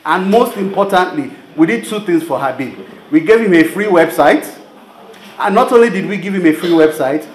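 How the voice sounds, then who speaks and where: A man with a Nigerian accent giving an informal public presentation, conversational, telling stories and putting rhetorical questions to his audience. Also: a little high. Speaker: presenter of a business training program. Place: live event room before an audience. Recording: roomy venue sound with background noise.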